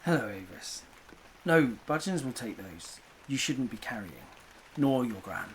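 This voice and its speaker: A man playing a bashful, hesitant older gentleman suitor who stammers sheepishly in an audio drama. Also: mid-range voice, animated, unhurried, a little hiss or hum, lightly acted, poor audio. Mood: mild.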